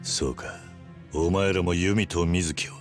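Gruff yakuza hero, extremely low voice, terse, unshakable, game cutscene, music behind. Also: male voice, steady tone, a little slow. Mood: stoic, guarded. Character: stoic, tough yakuza man, gruff and unshakable. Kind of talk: dramatic video game cutscene acting.

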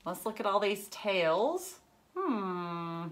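A woman's voice: mid-range voice, very animated, extremely slow. A woman in her thirties reading a picture book aloud to young children, with exaggerated wonder and lots of questions. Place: indoors, furnished living room.

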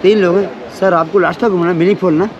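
An Indian man making a casual sales pitch as a boat ticket seller, outdoors. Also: fairly even intonation, fast talker. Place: outdoors at a busy boat landing. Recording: dull, noisy, off-mic outdoor sound.

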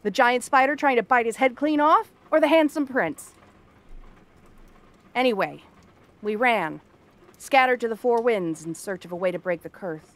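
A woman's voice: fairly high pitch, normal speed, pauses often, fairly bright tone. A woman playing a cranky exiled princess turned frog girl in a fantasy ASMR roleplay, haughty, sarcastic and theatrical. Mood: sarcastic, exasperated, then matter-of-fact. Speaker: voice actress.

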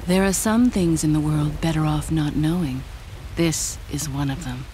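A woman's voice: low-pitched, moderate pace, noisy background, close to an everyday voice. An actress playing a cool, secretive young female spy in a video game, composed, terse and aloof. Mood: cool, guarded. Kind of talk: video game cutscene acting.

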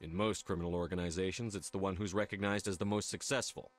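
A man playing a steady, commanding human space soldier in a video game, firm and matter-of-fact. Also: low-pitched, fast, natural voice. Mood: calm, measured.

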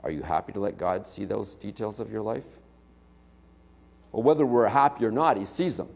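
A man's voice: fairly low pitch, moderate pace, creaky, darkish tone. Middle-aged male preacher in a reverberant church. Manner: conversational preaching with frequent "okay?" and "right?" asides. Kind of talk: sermon. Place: church sanctuary, large indoor hall. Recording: long reverb of a church hall.

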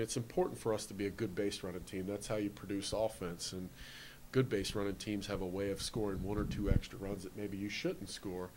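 A man's voice: lowish voice, a little mellow, slight background noise, low-quality recording. A man in his forties with a Canadian accent, a baseball manager answering postgame questions in a plain, matter-of-fact way in an indoor hallway. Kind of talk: postgame press interview. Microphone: handheld reporter mic.

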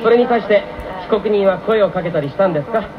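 An actor playing a pompous prosecutor with a formal, theatrical way of questioning, in a radio drama. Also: male voice, high-pitched, fast, a crowd in the background, lightly acted. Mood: accusing. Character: pompous young male prosecutor in a dream courtroom. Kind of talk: 1990s radio drama acting. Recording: muffled AM radio broadcast, music behind.

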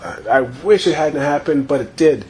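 Profane, rambling gamer man, slightly hoarse. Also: a little high, animated, normal speed, darkish tone. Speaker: gaming YouTuber. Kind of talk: casual gameplay commentary.

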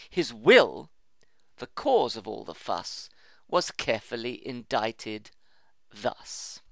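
A man's voice: medium pitch, gravelly, no echo. Theatrical man reading comic verse. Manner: expressive, theatrical storytelling. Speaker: reader.

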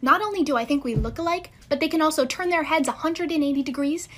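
A woman talking to camera in a mock-serious, deliberate comic monologue. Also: high voice, a bit bright. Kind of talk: casual scripted-style monologue to camera.

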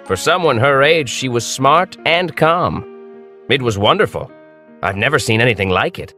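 Middle-aged male doctor, emphatic and admiring, lively pitch, light music behind. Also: moderate pace. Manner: emphatic, impressed. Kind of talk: animated children's story acting.

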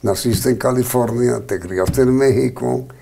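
An older man interviewing a guest in a TV studio, asking brisk, probing questions and stumbling over words at times. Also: medium pitch, gravelly, warm tone.

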